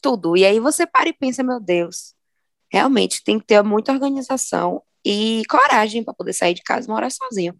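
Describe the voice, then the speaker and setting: A woman's voice: a little high, sing-song, fairly quick, vocal fry, no room sound, clean studio sound. A young Brazilian woman in casual podcast chat, earnest and building her points in lists. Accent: Brazilian Portuguese. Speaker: podcast co-host.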